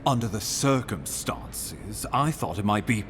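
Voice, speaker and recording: Low, expressive, weary male ambassador. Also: background noise. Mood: placating. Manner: measured, formal, resigned. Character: weary, dutiful male imperial ambassador, self-doubting yet courteous. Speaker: voice actor. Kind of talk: dramatic fantasy game dialogue.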